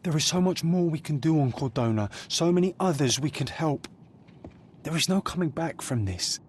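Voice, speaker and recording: An actor playing the detective's loyal, worried companion in a video game, speaking in a gentle, pleading way. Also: male voice, fairly high pitch, lively intonation, moderate pace, slight background noise, natural voice.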